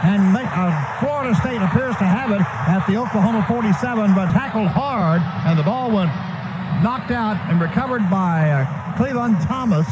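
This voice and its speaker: A man's voice: sits high, expressive, moderate pace. An American man doing live radio play-by-play of a football game, describing the action in short, vivid bursts. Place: stadium broadcast booth. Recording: dull, noisy archived radio broadcast.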